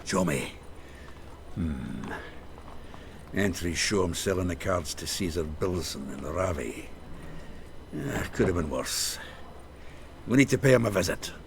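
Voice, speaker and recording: Blunt, earthy game rogue: low gravelly growl, slangy and hot-tempered, faint ambience behind. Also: male voice, expressive, a little slow, pauses often, background noise, heavily acted. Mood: blunt, scheming. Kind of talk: video game voice acting.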